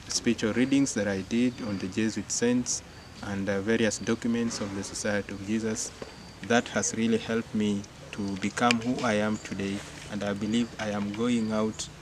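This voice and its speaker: A young man, a Jesuit novice, speaking earnestly and in measured fashion about his formation, with frequent fillers. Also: lowish voice. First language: not English-speaking only; English speaker. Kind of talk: reflective interview testimony. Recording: clear insect and cricket noise behind.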